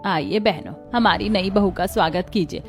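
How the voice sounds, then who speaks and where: A woman voicing a kindly middle-aged mother-in-law in a Hindi cartoon story, speaking in a soft, coaxing way. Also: medium pitch, normal speed, faint music behind, lightly acted. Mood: welcoming, gentle.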